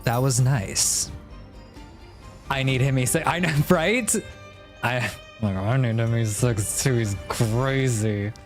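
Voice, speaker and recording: A man gives casual live gaming commentary in a mid-pitched voice. His pace is slow and loose, moving between muttered reading and short exclamations of reaction. Faint game music plays behind him. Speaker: game streamer.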